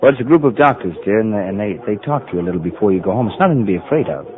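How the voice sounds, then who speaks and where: Quick-talking, earnest devoted husband played by an actor. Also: male voice, fairly low pitch, lively intonation, lightly acted. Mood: earnest, uneasy. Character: devoted, encouraging husband, earnest and anxious to reassure. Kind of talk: 1940s radio drama acting. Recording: narrow old radio broadcast, audience murmur.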